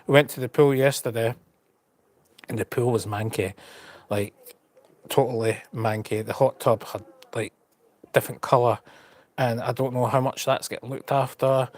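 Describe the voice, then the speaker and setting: A man's voice: mid-range voice, steady tone, normal speed, pauses often, a little mellow, no room sound. A man with a Scottish accent chatting casually to his live stream, rambling and frank, with a slight stutter and repeated words. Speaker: travel vlogger on a live stream. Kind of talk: casual chat.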